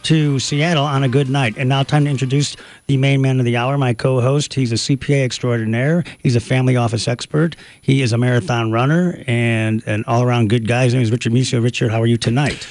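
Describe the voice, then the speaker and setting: A man's voice: mid-range voice, steady tone, a little fast, a little mellow, no echo. Male radio co-host with a dry studio sound. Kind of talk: radio talk show hosting. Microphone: studio microphone.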